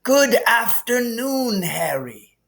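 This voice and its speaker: A man voicing a kindly, whimsical elderly wizard headmaster in a storybook reading, with calm, gently formal phrasing. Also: very high voice, slow pace, gravelly, voice pushed up, heavily acted. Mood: calm, soothing. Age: older. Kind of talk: audiobook character reading.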